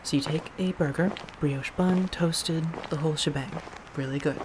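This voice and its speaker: A woman playing an affectionate, playful female vampire roommate in a soft-spoken, gently teasing ASMR roleplay. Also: deep voice, moderate pace, background noise, close to an everyday voice. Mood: teasing, enthusiastic. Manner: gentle, chatty, teasing, with soft murmurs and breathy asides.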